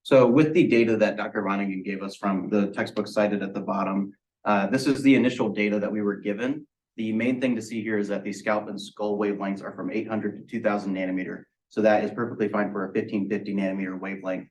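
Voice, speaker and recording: A man with a fairly low voice walks through a technical presentation. He explains methodically, one step after another, and sounds set back from the microphone. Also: steady tone, quick pace, a little mellow. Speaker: student presenter. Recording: distant microphone pickup.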